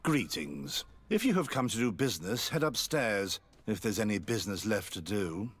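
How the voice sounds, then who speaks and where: A disgruntled, animated alchemist. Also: male voice, fairly low pitch, normal speed, slightly rough, full character voice. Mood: bitter, aggrieved. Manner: complaining, sardonic. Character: disgruntled, overworked potion-making alchemist. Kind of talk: video game voice acting.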